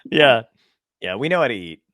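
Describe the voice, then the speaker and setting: A man's voice: medium pitch, big pitch swings, moderate pace, fairly bright tone. A man hosting a podcast interview, enthusiastic and rambling as he tells stories and asks questions. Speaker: podcast host. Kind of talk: casual interview chat.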